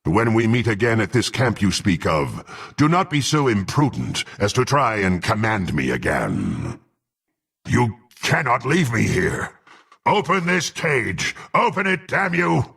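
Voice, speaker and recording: An actor playing a proud, menacing half-orc warrior with a gruff voice, speaking in haughty, archaic phrases for a fantasy game. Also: male voice, on the low side, very animated, moderate pace, a bit bright, heavily acted. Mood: vengeful, imperious. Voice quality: gruff, gravelly. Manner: haughty, threatening, formal archaic speech. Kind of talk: dramatic fantasy game voice acting.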